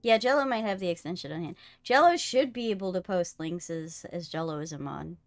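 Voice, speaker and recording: A woman's voice: on the low side, animated, brisk. A woman talking casually and teasingly as she hosts a gaming live stream. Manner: playful, teasing. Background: music.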